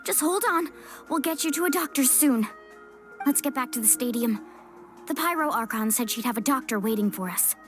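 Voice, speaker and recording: A woman's voice: sits high, lively intonation, moderate pace, soft background music. A woman playing an earnest, caring young female adventurer in a video game. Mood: concerned, determined. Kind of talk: animated video game voice acting.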